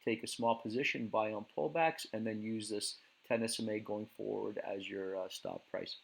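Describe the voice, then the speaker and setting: Gravelly-voiced man giving a casual market recap. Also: on the low side, moderate pace. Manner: relaxed, with many fillers and restarts. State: losing his voice. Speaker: stock market commentator.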